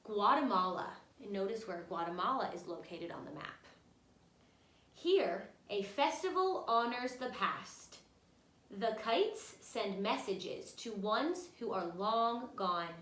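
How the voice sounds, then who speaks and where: A woman's voice: medium pitch, expressive, slow pace, a bit bright. A woman teacher reading a children's picture book aloud, speaking expressively and instructively, directly to young listeners. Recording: roomy, echoey room sound.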